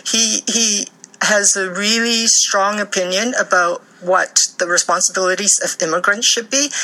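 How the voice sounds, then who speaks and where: Woman, from Taiwan, author guest, studio interview, gravelly, bright, measured with fillers. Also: fairly low pitch, poor audio. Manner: measured, with many ums and uhs. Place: radio studio.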